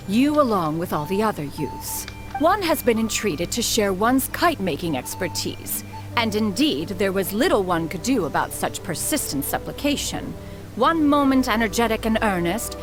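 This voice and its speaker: A woman acts out a dignified, aloof immortal lady from an anime game. Her phrasing is archaic and stately, with animated rises and falls that show a haughty streak. Music plays clearly behind her. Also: medium pitch, close to an everyday voice. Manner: archaic, stately phrasing, calls self "one". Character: aloof, formal, ancient adeptus woman, haughty, awkward.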